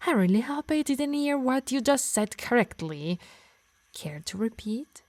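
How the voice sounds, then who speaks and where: A woman's voice: on the high side, lively intonation, a little slow, darkish tone, no room sound. A woman playing a possessive, teasing harpy healer who scolds fondly in an ASMR roleplay. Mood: alarmed, scolding. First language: Italian.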